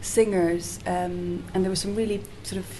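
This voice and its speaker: A soft-spoken woman being interviewed as a singer-songwriter, talking in a casual, hesitant way with frequent restarts. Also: lowish voice, fairly even intonation, moderate pace, rough recording. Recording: noticeable background hiss.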